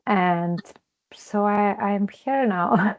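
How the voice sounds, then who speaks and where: A woman from Ukraine introducing herself to a group over a video call, halting and searching for words. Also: mid-range voice. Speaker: counseling student. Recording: dull lo-fi video call audio.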